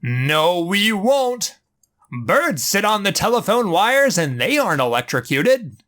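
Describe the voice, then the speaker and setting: A man voicing a calm, confident male superhero in a comic dub, steady and lecturing. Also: high-pitched, takes it slowly, heavily acted. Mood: stern.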